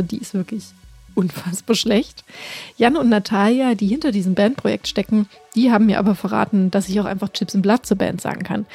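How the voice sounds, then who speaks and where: A woman's voice: mid-range voice, dark tone, slightly rough, dry recording. A woman hosting a radio show and podcast, talking casually with fillers and restarts and poking fun at herself. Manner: chatty, self-deprecating, with fillers and restarts. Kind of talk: casual radio intro and interview. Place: radio studio.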